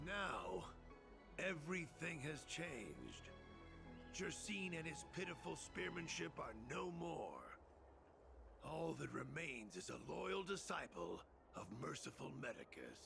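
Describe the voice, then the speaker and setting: A man's voice: a little high, big pitch swings, slow, gravelly, over music, heavily acted. An actor playing a fanatical, scornful male cult leader in a video game, with theatrical, grandiose delivery. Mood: grandiose, boastful. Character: fanatical male cult leader, former spearman turned zealot. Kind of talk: video game villain acting.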